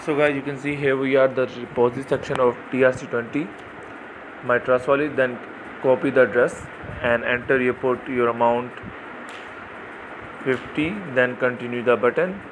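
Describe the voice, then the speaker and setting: A man narrating a screen-recorded tutorial, talking haltingly and repeating "you can see here". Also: medium pitch, fairly even intonation, noisy background. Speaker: crypto site walkthrough narrator.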